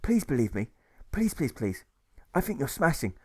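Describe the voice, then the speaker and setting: Man playing an earnest, gently defiant pacifist. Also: medium pitch, very animated, normal speed, husky. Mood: devoted. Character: earnest, devoted conscientious objector, gently defiant.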